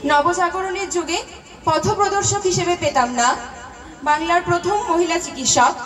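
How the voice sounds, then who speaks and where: A very high, girlish puppet voice performed by a woman, an earnest village daughter pleading her case. It rings through a reverberant hall, far from the microphone. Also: moderate pace. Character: earnest young village daughter who wants to study. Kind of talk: amateur puppet show acting.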